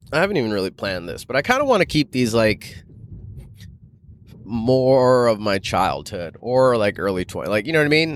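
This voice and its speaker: A man's voice: medium pitch, a bit bright, a little hiss or hum. A man hosting a solo podcast, rambling casually and loosely in informal, sweary language like an amateur comedian.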